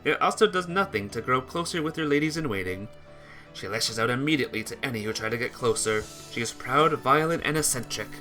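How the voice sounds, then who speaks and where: A man giving an expressive, theatrical reading of a visual novel as narrator, occasionally stumbling over words. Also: medium pitch, a little fast, soft background music.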